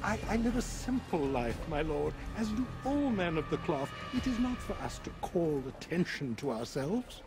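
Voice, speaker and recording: An actor voicing a frightened, pleading cleric in a video game, stammering and deferential. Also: male voice, fairly high pitch, very animated, moderate pace, hardly any pauses. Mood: nervous deference. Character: frightened, pleading male cleric protesting his innocence. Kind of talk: video game drama acting. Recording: dramatic music behind the voice. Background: music.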